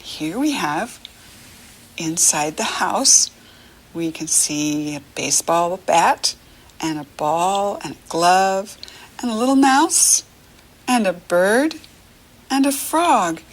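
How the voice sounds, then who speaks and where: Husky, slow-paced American woman teaching children. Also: fairly low pitch, expressive. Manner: encouraging, instructive, simple short phrases with pauses. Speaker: reading teacher for young children. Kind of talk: children's picture-book lesson, talking directly to the child.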